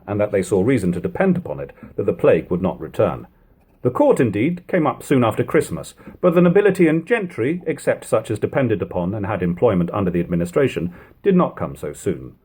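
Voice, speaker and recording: A male narrator with a slightly rough, husky voice reads old-fashioned prose. His pitch moves animatedly through the long sentences, and he leans on key words with deliberate pauses. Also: sing-song, a little mellow. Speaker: audiobook narrator. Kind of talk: dramatic audiobook reading of 18th-century prose.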